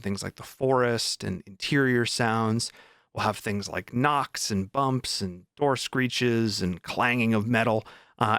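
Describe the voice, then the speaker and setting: Creaky, low-voiced man vlogging casually. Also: a bit bright, no echo, clean studio sound. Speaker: vlog host and indie author. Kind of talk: casual vlog chat.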